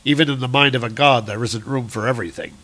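A man's voice: mid-range voice, fast talker, a bit bright, natural voice. A man voicing a proud, grave warrior god of thunder with declamatory, heroic speech for a comic-book story. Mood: solemn reminiscing.

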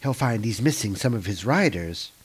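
A man voicing a cool, vengeful female dark elf witch warrior from a comic book, dry and curt. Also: on the low side, lively intonation, normal speed, slightly rough.

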